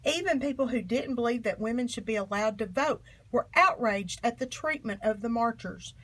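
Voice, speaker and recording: A woman reading a children's history book aloud in an expressive, emphatic storytelling manner. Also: a little high, normal speed. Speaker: storybook reader.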